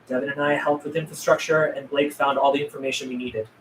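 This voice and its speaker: A man's voice: fairly high pitch, flat delivery, fast, a bit bright, close to an everyday voice. A man reading the part of a guarded, earnest zombie apocalypse survivor at a script table read, terse in manner. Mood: matter-of-fact. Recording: roomy, distant mic, faint noise.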